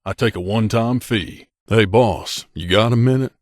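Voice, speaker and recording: Male actor as gruff game mercenary, low voice, middle-aged, terse, aloof, guarded loner, dry close recording. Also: normal speed, dry recording, lightly acted. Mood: businesslike, dry. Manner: terse, aloof, matter-of-fact. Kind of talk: video game character dialogue.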